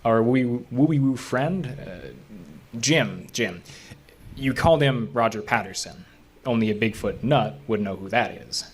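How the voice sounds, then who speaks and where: A man's voice: medium pitch, lively intonation, unhurried, lightly acted. A man acting the part of a skeptical but curious park ranger in an audio drama, speaking conversationally with some hesitation. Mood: curious, probing. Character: level-headed, skeptical male park ranger with quiet curiosity. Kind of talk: naturalistic audio drama dialogue.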